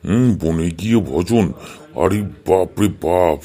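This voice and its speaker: A man's voice: low voice, mellow. A man playing a stern, exasperated schoolteacher in a comedy cartoon, scolding and sarcastic. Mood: angry. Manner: scolding, sarcastic, drawn-out hums. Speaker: cartoon voice actor. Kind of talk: Bengali comedy cartoon dub.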